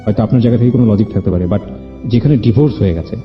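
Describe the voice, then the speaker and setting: A male radio host with a Bangladeshi accent talks through a guest's life with earnest, probing questions and advice. His voice is fairly low and moves quickly, with English words dropped in. The sound is echoey, with music underneath. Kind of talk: conversational life-story interview with advice. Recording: roomy echo, dull muffled sound, music underneath. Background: background music.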